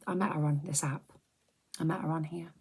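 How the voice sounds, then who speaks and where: A middle-aged woman, chatting softly and rambling on a close mic for an ASMR video, often slipping into whispers. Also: low-pitched, fairly even intonation, fairly quick, slightly rough. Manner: soft-spoken, drifting into whispers, chatty and rambling. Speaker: ASMR creator. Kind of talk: soft-spoken ASMR chat.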